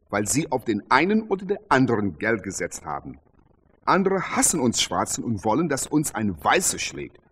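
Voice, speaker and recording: An actor playing a proud, quick-witted champion boxer and showman, boastful and provocative in a radio drama. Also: mid-range voice, fairly bright tone, slight background noise, close to an everyday voice. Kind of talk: radio drama interview scene.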